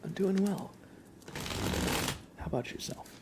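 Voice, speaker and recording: A man hosting an ASMR livestream, chatting softly and casually in a rambling way, often slipping into a whisper. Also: high voice, animated, unhurried, very noisy. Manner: casual, rambling, hesitant with frequent "like". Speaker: livestream host. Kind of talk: soft-spoken ASMR livestream, casual chat.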